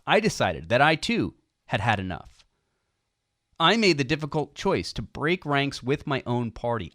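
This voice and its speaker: Expressive male audiobook narrator, dry sound. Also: a little high, no room sound. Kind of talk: scripted audiobook narration of political commentary.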